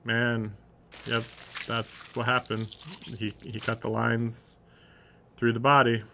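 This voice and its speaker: A man's voice: mid-range voice, little pitch movement, takes it slowly, creaky, bright, forceful tone, slight background noise. A man live-streaming a visual novel, reading it aloud in a mock-dramatic way and breaking off into sarcastic, sometimes profane asides. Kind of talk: live commentary mixed with dramatic read-aloud.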